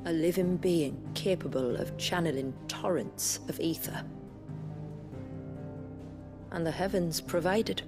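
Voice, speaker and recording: An actress playing a grave village woman who recounts her people's tragic past in a measured, formal, earnest way for a video game. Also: on the low side, over music. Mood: solemn. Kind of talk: solemn scripted storytelling in video game drama.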